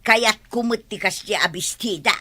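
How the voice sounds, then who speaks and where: Actress as a bright-voiced, stubborn Ilocano village woman. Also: medium pitch, moderate pace, husky. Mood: complaining. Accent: Ilocano Filipino. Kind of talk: Ilocano village comedy drama acting.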